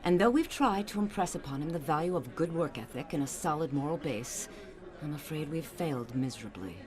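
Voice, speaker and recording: Disapproving society mother: low register, very expressive intonation, stern, clipped, for audio drama. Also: brisk, crowd noise. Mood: disappointed, resolute. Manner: haughty, clipped, scolding. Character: stern, disapproving wealthy mother of a grown son. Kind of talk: radio drama acting.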